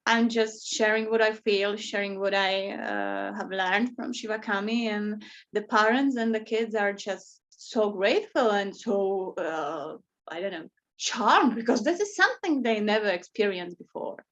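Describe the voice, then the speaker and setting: A woman's voice: mid-range voice, unhurried, lo-fi sound. A woman from the Czech Republic with a Czech accent, a yoga teacher, talking informally and emphatically to a group in English as a second language, repeating words for stress. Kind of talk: informal personal talk to a group.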